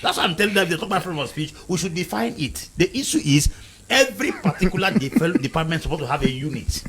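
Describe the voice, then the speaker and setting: Panel guest, man from Cameroon, slightly high-pitched, hammering points home over faint background noise. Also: expressive, fast talker, a bit bright. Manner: emphatic, repetitive, lecturing. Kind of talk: heated panel debate.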